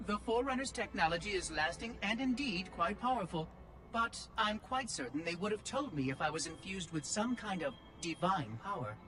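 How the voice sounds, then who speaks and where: An actor voicing a prim, inquisitive ancient machine oracle in a video game, precise and fussy in speech. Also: male voice, sits high, animated, moderate pace, sharp tone, poor audio. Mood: cool, pedantic. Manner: precise, articulate, probing, fussy. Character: prim, inquisitive ancient robot oracle, male, coolly pedantic. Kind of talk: video game cutscene dialogue. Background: music.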